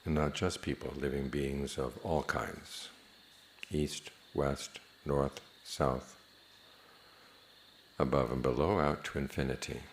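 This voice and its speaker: A man teaching a guided meditation in a measured, plain-spoken manner, leaving long pauses between phrases. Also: rumbling low, steady tone, vocal fry, slight background noise.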